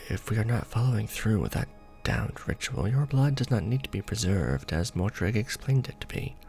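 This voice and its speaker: A man as a deep, gravelly, courtly vampire lord. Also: expressive, darkish tone, soft background music. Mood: thoughtful, hesitant. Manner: formal, deliberate, tender, halting pauses. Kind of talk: ASMR romance roleplay.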